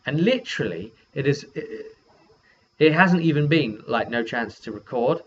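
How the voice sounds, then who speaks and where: Male game master and vlogger, casual and halting recap, middle-pitched voice, lo-fi audio with faint noise. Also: expressive. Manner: halting, self-correcting delivery. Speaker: game master and video host. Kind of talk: casual rambling session recap. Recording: dull lo-fi sound with faint noise.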